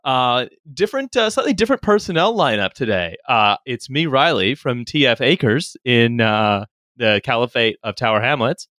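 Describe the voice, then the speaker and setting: Animated, halting male podcast host. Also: medium pitch, no echo, clean studio sound. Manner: rambling, halting, lots of fillers and laughs. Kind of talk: casual comedic chat.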